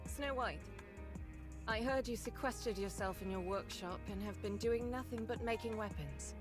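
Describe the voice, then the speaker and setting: Female veteran fighter and mentor for a game, played by a woman: medium pitch, calm, probing delivery. Clear music bed. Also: normal speed, natural voice. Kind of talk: video game voice acting.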